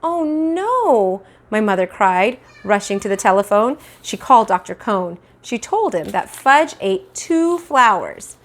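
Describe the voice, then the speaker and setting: A woman's voice: medium pitch, unhurried, hardly any pauses. A woman in her thirties reading a children's book aloud expressively, like a teacher with a class book. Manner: animated, acting out dialogue. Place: small indoor room at home. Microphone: clip-on lapel mic.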